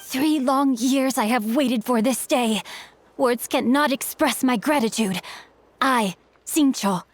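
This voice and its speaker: A woman's voice: high-pitched, takes it slowly. A woman voicing a polite, studious and chivalrous young male swordsman in a video game, speaking in an eloquent, formal, theatrical way. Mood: grateful, dramatic.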